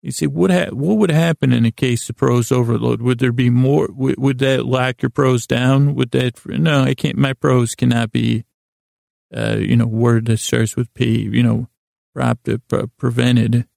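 A man hosting a sleep podcast with a lulling, languid bedtime monologue, meandering and halting, often stammering into false starts. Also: mid-range voice, steady tone, a little mellow, no echo, clean studio sound. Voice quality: gravelly, creaky. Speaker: podcast host. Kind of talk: rambling bedtime monologue to help listeners sleep.

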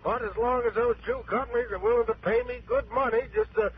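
A man's voice: extremely high pitch, quick pace, slightly rough, exaggerated voice. Folksy older Western insurance man. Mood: genial, folksy. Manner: drawling, hesitant, folksy hemming and hawing. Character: folksy, wily older Arizona insurance man, half retired. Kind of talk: 1960s radio drama acting. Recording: muffled, faintly noisy old radio recording.

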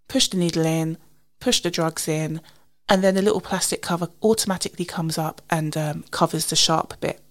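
A British woman hosting a casual, chatty solo podcast, slightly husky and sniffly from a cold, informal and self-deprecating. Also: fairly low pitch. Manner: informal, self-deprecating, recounts conversations in quoted dialogue. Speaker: podcast host.

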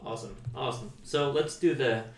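A man hosting a casual interview, friendly and quick to laugh. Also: mid-range voice, echoey room, far from the mic, slight background noise. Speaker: interviewer, host.

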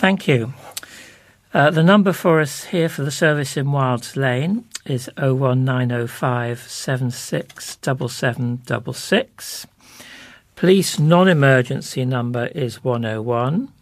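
A man presenting local information, reading out listings and phone numbers in measured, deliberate short phrases. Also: medium pitch, no echo.

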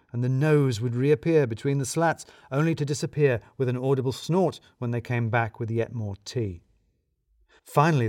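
A man's voice: mid-range voice, darkish tone, no echo. A man giving dry, wry, scripted first-person narration of a comic mystery. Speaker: audio drama narrator.

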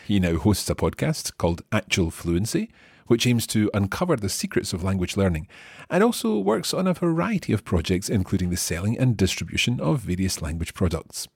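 Low husky guy hosting a podcast, bouncy intonation, friendly and chuckly. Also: very animated, brisk, no echo, clean studio sound. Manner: friendly, chuckles often, some hesitant fillers. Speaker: podcast host and interviewer. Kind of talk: scripted intro then relaxed interview chat.

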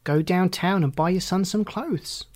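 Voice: medium pitch, a little slow, a little mellow, pitched above the performer's own voice, close to an everyday voice. Someone putting on a prim bossy nurse lady for an audiobook, stern and insistent. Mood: commanding, prim. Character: severe, prim, commanding woman nurse.

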